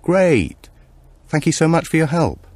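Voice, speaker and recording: A man's voice: on the high side, huge pitch swings, a little slow, darkish tone, no echo, voice pushed up, heavily acted. A man voicing a polite, businesslike policewoman asking questions in an audiobook. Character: brisk, polite policewoman making enquiries.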